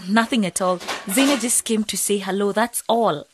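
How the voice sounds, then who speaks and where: An actress playing a defensive, sulky young daughter in a radio drama. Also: female voice, mid-range voice, normal speed, noisy background, lightly acted.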